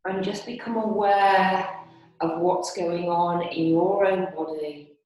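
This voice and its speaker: A woman instructor guiding restorative yoga and meditation in a calm, soothing, unhurried manner with long pauses. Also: lowish voice. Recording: very echoey, reverberant room.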